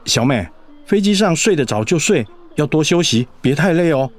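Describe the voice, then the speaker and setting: A man's voice: fairly high pitch, animated, moderate pace. An actor playing a caring, fretful middle-aged husband and father in a radio drama. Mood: tender, concerned.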